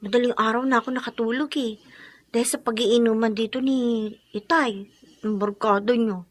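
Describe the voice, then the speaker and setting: An actress playing a weary, fretful young sibling in a Filipino radio drama, with a Filipino accent. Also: female voice, fairly high pitch, fairly even intonation, normal speed, natural voice.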